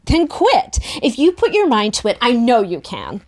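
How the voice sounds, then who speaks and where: A woman's voice: on the high side, huge pitch swings, normal speed, a little mellow. Wistful teenage girl narrator, voiced by a woman. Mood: indignant, accusing. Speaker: table-read performer. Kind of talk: first-person audiobook narration with acted dialogue.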